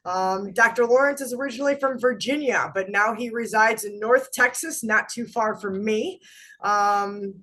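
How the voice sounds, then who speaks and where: A woman's voice: on the high side, fairly bright tone. A woman hosting a podcast interview over a video call, sounding nasal and stuffy from allergies. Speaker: podcast host.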